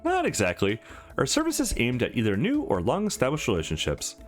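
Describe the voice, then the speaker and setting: Guy doing a polite game npc attendant, formal service talk, lively pitch, music behind. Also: fairly quick. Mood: polite, informative. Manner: formal, scripted customer-service phrasing. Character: polite, matter-of-fact space station date service attendant.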